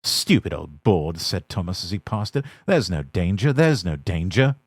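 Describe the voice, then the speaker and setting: A man voicing a cheeky, excitable little male tank engine in a storybook reading, with an impish, bouncy delivery. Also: low-pitched, no echo. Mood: scornful. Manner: bouncy, impish, quick to cry out. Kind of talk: storybook character voice.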